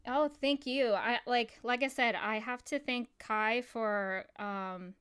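Bubbly woman hosting, higher voice. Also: slow pace, a bit bright, clear, resonant tone. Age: 30s. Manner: laughs often, upbeat and chatty. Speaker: podcast host. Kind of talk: casual chat.

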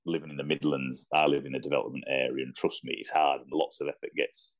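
A man's voice: rumbling low, little pitch movement, quick pace. A man with a Yorkshire accent talking reflectively and earnestly in a casual sports discussion as a podcast guest.

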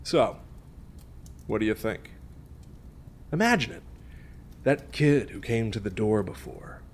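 An actor playing a young prankster in an audio drama who poses as a coaxing killer among friends. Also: male voice, on the low side, expressive, slow, slight background noise. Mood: coaxing menace.

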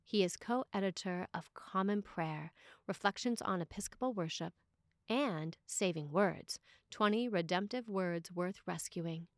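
Lady priest reading out a sermon, deliberate w/ big pauses, really dry sound no room. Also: fairly low pitch, no room sound.

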